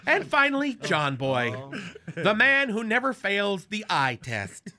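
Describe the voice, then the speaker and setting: A man's voice: sits high, sing-song, unhurried, pushed and bright. Sarcastic male radio host roasting cohosts. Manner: sarcastic, teasing. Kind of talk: comic roast monologue. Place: radio studio with laughing cohosts.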